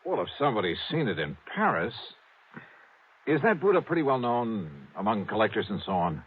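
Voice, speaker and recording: Lively hard-boiled radio investigator. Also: male voice, fairly low pitch, brisk, breathy edge. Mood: brisk, confident. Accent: American. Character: brisk, wry, hard-boiled male insurance investigator. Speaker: lead actor and narrator. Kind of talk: 1950s radio acting and first-person narration. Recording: narrow old radio recording with music.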